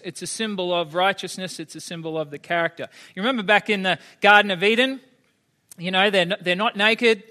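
A man's voice: high voice, pushed and bright. An Australian man in his thirties giving a conversational sermon, often asking the congregation questions. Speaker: preacher.

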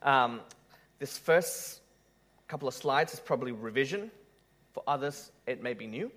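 A man's voice: medium pitch, animated, a little slow, fairly bright tone. A man who grew up in Malaysia, preaching a conversational sermon to a live audience, joking and putting questions to the room.

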